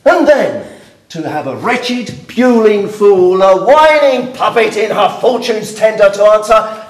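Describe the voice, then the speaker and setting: In a roomy, echoing space, a man plays an older noble father who domineers and scorns. The voice is pitched high and swings widely, delivered slowly and grandly as classical verse drama. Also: full character voice. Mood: exasperated. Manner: scornful, explosive, declamatory Shakespearean delivery. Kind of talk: classical stage verse acting.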